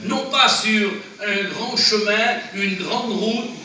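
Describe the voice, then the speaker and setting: A man with a cold and a runny nose preaches earnestly in short, exhorting phrases. His voice sits a little high and sounds distant, caught from across a very echoey church. A clear hum of noise runs under it. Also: slow pace, bright, forceful tone. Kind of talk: sermon. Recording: very echoey church, from far off, noisy.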